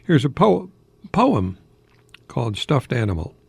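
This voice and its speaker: A man's voice: mid-range voice, sing-song, unhurried, gravelly, husky, no room sound. An older American man chatting like a professor on a radio talk, posing rhetorical questions as he goes. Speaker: radio host, retired college professor. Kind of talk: informal radio talk with verse recitation.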